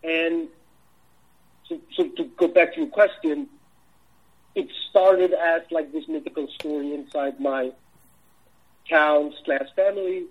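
A man from Iceland with an Icelandic accent, a film director answering interview questions in a halting way, full of restarts. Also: fairly high pitch, phone-quality sound. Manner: halting, many restarts and fillers.

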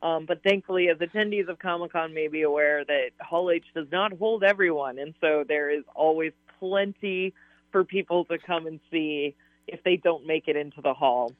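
Slightly hoarse woman on a tinny line. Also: sits low, moderate pace, no echo. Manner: enthusiastic, laughs often, talks in long rambling sentences. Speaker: science advisor and author, interview guest. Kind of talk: casual radio interview answers. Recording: tinny phone-line audio, dry.

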